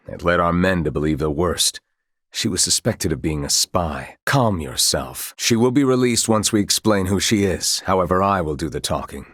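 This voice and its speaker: A grave military commander from a fantasy game. His voice is very low and notably husky, and he speaks with formal, old-fashioned courtesy and a dutiful, measured bearing. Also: no room sound, studio quality. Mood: composed, authoritative. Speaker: video game voice actor. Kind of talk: scripted video game dialogue.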